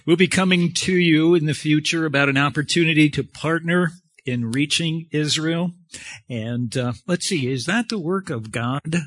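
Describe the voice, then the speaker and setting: A man preaching a sermon in a halting, conversational way, pausing often. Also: a little high, fairly bright tone, no room sound.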